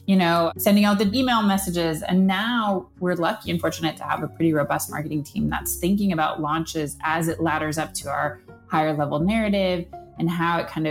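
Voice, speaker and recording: A woman giving casual, conversational interview answers about her work as a marketing manager. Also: fairly low pitch.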